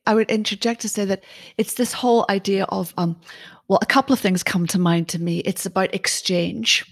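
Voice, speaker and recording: Woman host, low gravelly husky voice, effusive interviewer, dry sound. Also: steady tone, moderate pace, no room sound. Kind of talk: interview questions.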